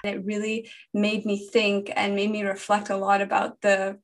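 A woman's voice: mid-range voice, completely flat delivery, normal speed. A young woman from Indonesia, a medical trainee, talking earnestly and fluently about her experiences in an interview. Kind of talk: personal interview talk.

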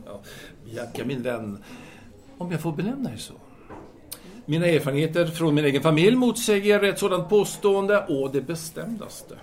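An actor playing a candid, self-assured male French intellectual in an audio drama, speaking formally and deliberately with hesitant pauses. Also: on the high side, animated, close to an everyday voice. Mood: emphatic, candid.

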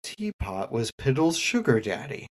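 A man's voice: medium pitch, big pitch swings, unhurried. A man playing a patient police detective in a comic murder mystery reading, questioning suspects politely and insistently. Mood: polite, inquisitive.

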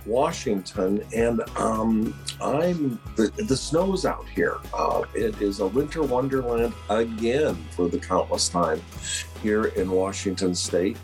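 A man co-hosting a podcast in a conversational way, introducing himself and guests and putting questions. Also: on the low side. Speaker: podcast co-host, church missioner.

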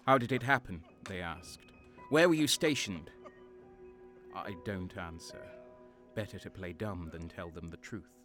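A man's voice: low voice, unhurried, fairly bright tone, soft background music. A man playing a cynical, guarded wounded guardsman sergeant, narrating a sci-fi war audio drama in a sardonic, brooding way. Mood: grim, wry.

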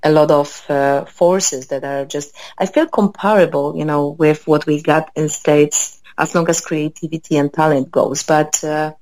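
A woman from Poland with a Polish accent, a guest in a relaxed interview, pausing often with fillers as she thinks aloud. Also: low voice, dry recording. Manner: hesitant, many fillers, thoughtful. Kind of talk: conversational interview.

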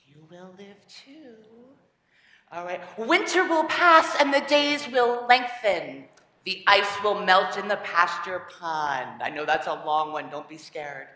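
Woman, slow speaker, encouraging, playful, leading a reading. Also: fairly low pitch, animated, sharp tone. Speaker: service leader.

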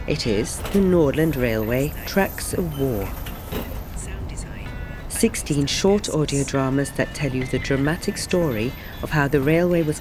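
Audio drama narrator, mid-pitched voice, scripted storytelling, music underneath.

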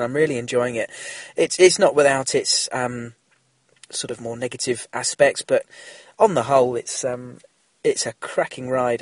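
A man's voice: a little high, breathy edge, dry recording. A middle-aged man hosting a casual solo podcast, chatty and rambling, slightly nasal from a cold. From England. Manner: chatty, rambling, frequent fillers and restarts. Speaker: podcast host. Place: small car-based mobile studio.

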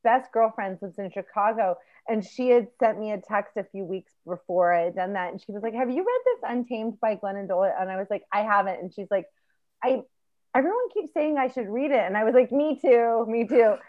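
A woman's voice: on the high side, lively intonation, a little fast, dull audio. A woman in her forties, a panel guest telling a story in a chatty way, laughing along. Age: 40s. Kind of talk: casual panel chat.

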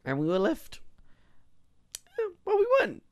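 A man in his twenties co-hosting a film podcast, speaking in a relaxed, raspy voice with frequent fillers. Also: high voice, moderate pace, no echo. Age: 20s. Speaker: podcast co-host. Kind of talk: casual film podcast chat.

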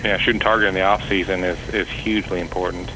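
A man's voice: deep voice, little pitch movement, fast talker, noisy background. A man appearing as a guest on a podcast, answering in a friendly, agreeable way. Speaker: archery company guest. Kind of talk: casual interview chat.

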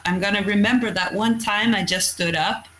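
A woman's voice: mid-range voice, steady tone, normal speed, a bit bright, a little hiss or hum. A Norwegian woman, a coastal fisherman, speaking English as a second language, telling stories candidly in a casual interview. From Norway. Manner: candid, storytelling, blunt with mild cursing.

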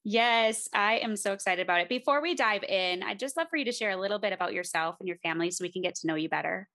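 A woman's voice: mid-range voice, fast, bright, forceful tone. A woman hosting a podcast interview, talking in an effusive, enthusiastic way with her guest. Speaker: podcast host.

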